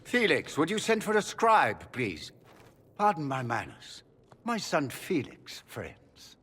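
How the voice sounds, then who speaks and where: An actor playing a suave, calculating male magister with a husky voice and courtly, theatrical manners. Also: on the high side, wildly swooping pitch, slow, fairly bright tone, slight background noise, full character voice. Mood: smooth, calculating. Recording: long hall reverb.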